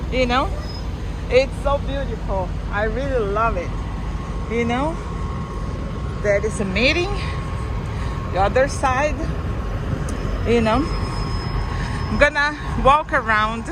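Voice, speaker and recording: A woman from Brazil with a Brazilian Portuguese accent, a truck driver filming an enthusiastic casual vlog outdoors at a truck stop, speaking halting English and repeating herself. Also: a little high. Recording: loud traffic noise outdoors.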